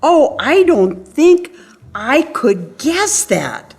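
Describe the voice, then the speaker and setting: Woman as a tender, very animated big hare. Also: sits high, extremely slow, creaky, exaggerated voice. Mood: tender, playful. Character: big grown male hare, tender and playful.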